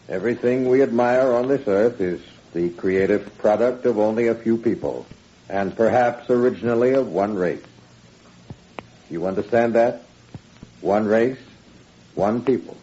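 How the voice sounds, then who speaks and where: Sharp-voiced, sneering Nazi schoolmaster. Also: male voice, lowish voice, animated, a little slow, pauses often. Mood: stern, lecturing. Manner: condescending, pompous, didactic. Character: stern, sneering Nazi schoolmaster lecturing boys. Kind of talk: 1940s radio acting. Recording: muffled, noisy 1940s radio recording.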